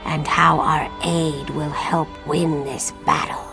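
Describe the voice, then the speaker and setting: An actress playing a proud serpent-woman warrior in a video game, speaking deliberately. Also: low voice, level tone, gravelly, heavily acted. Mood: proud, determined. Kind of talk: video game character acting. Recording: loud music behind the voice.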